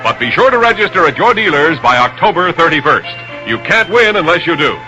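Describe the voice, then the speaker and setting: A man's voice: a little high, very animated, fairly quick, dull audio. An American man reading a scripted 1960s radio commercial as an announcer. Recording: vintage 1961 radio recording. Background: music.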